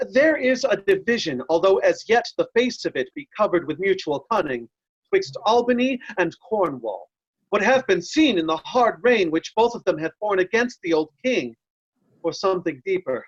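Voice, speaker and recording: A woman's voice: mid-range voice, sing-song, moderate pace, slightly rough. A woman playing a loyal, earnest nobleman in a Shakespeare reading, recorded in dull lo-fi sound. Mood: urgent, confiding. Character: Kent, loyal nobleman, steadfast and urgent.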